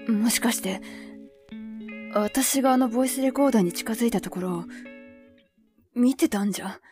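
A woman's voice: a little high, moderate pace, music in the background, natural voice. A woman playing a frustrated young woman on a search, talking to herself in colloquial bursts in an audio drama. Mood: puzzled. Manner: muttering to herself, colloquial, exclamatory. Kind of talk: Japanese audio drama acting.

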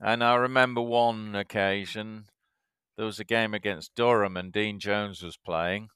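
A man in his fifties from Yorkshire, a guest telling anecdotes in a halting way, with many fillers. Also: lowish voice, fairly even intonation, a bit bright, clean tone, no echo, studio quality. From Yorkshire, England. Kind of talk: casual interview chat.

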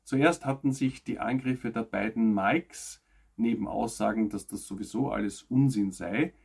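A man reads scripted news as a presenter, in measured, emphatic phrases that fall away at sentence ends. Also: fairly low pitch, gravelly, darkish tone, breathy edge.

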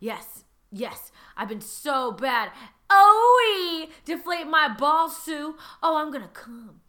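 A woman's voice: high-pitched, sing-song, takes it slowly, sharp tone, voice pushed up, heavily acted. A woman hamming up a begging, eager man. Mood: ecstatic. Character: lustful, submissive grown man begging eagerly.

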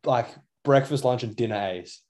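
A man's voice: mid-range voice, moderate pace, a little mellow. A young Australian man co-hosting a podcast in casual chat, talking in a rambling, matey, slangy way. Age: young adult. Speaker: podcast co-host.

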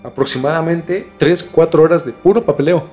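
A man's voice: on the high side, normal speed, warm tone. A man with a Mexican accent telling a story in a casual, slangy podcast chat as host. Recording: music bed behind the talk. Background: music.

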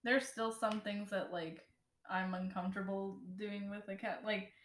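A woman's voice: mid-range voice, little pitch movement, normal speed. A woman co-hosting a podcast in casual chat, swearing often and laughing a lot. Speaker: podcast co-host. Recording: echoey room.

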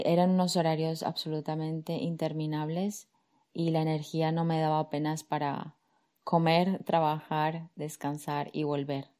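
Low, mellow-voiced woman coach. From Colombia. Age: 30s. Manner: calm, reflective, with frequent soft fillers. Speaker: career coach and podcast host. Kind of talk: personal storytelling monologue.

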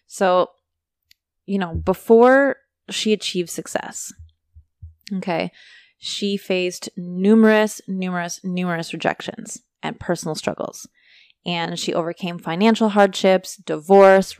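A woman hosting a casual motivational podcast, talking chattily to her listeners while her voice is going hoarse. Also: lowish voice, no echo, studio quality. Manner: chatty, motivational, addresses listeners as you guys. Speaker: podcast host. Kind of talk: casual solo podcast talk.

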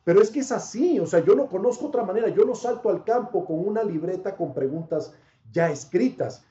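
A man who works as a sports reporter, answering interview questions as an animated, expansive storyteller. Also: high-pitched, brisk. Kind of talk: interview answers, anecdote telling. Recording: dull, roomy sound.

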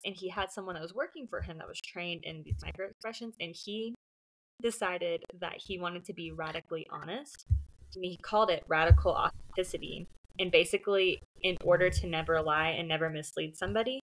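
A woman's voice: fairly low pitch, a little fast, low-quality recording. A woman discussing books casually as a co-host, explaining her points in a conversational way. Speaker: book podcast co-host.

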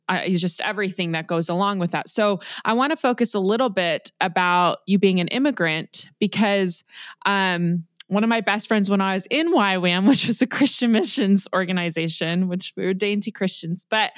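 A woman's voice: mid-range voice, no room sound, studio quality. Chatty woman podcast host. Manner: warm, giggly and chatty. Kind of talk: podcast interview.

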